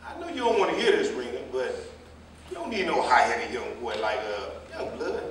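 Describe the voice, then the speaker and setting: An actor playing a meddling, moralizing older cab driver in a staged reading, with a folksy, preachy, rambling way of talking. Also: male voice, mid-range voice, big pitch swings, moderate pace. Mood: preachy, nosy. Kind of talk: live staged play reading. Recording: echoey, distant stage recording with faint noise.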